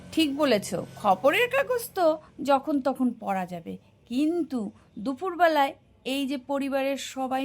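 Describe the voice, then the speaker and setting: A woman's voice: high voice, exaggerated voice. A woman voicing a talkative, easily exasperated young son in a family cartoon, speaking insistently. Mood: agreeable. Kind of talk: cartoon voice acting.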